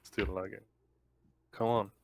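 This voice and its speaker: A man's voice: lowish voice, level tone, unhurried, a little hiss or hum. A man talking casually and bluntly as a player in an online tabletop game. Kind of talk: casual chat, blunt swearing.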